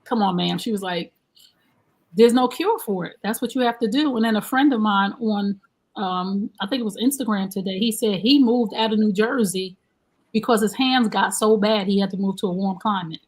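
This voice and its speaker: A woman, hosting a live-streamed relationship talk show in a chatty, animated way. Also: mid-range voice, normal speed, low-quality recording. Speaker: host.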